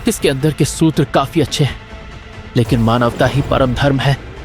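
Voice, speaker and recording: A man's voice: mid-range voice, normal speed, soft background music, lightly acted. A man playing a disillusioned teenage captive prince in a fantasy audio drama, brooding and formal.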